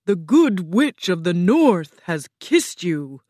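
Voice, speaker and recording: Lady doing big scary storybook wizard head, loud n slow, bossy, stern, swoopy delivery. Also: very animated, dry recording, clean studio sound, exaggerated voice. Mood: stern, questioning. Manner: slow, loud, drawn-out, booming pronouncements. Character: giant disembodied wizard head, commanding, imposing, stern, male. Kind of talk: storybook character voice.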